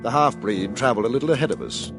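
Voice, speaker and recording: An actor in a 1950s radio drama playing a reserved former cavalry captain who narrates and speaks in a dry, understated, polished way, over music on an old broadcast. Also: male voice, mid-range voice, quick pace, natural voice. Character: reserved English ex-cavalry captain, adult man. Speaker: narrator and lead.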